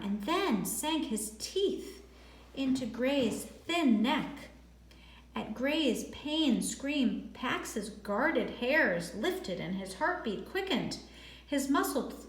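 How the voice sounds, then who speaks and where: Slow-paced woman reading a story aloud, echoey room. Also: sits high. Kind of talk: storybook read-aloud narration with brief asides. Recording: echoey, roomy sound.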